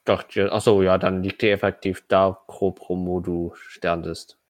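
A man's voice: sits low, little pitch movement, unhurried, no echo. A man improvising narration as the game master of a tabletop role-playing game.

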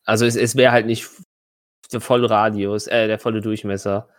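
Low-voiced male game master, dry sound. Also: little pitch movement, normal speed, no echo. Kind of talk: running a tabletop role-playing game, improvised narration and rules talk.

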